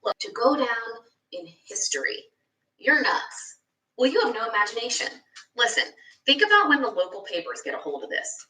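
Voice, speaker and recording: A woman's voice: a little high, very animated, moderate pace, pushed and bright. A woman voicing a cocky, impatient boy inventor in a storybook, boastful and scoffing toward his brother. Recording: echoey room, distant microphone.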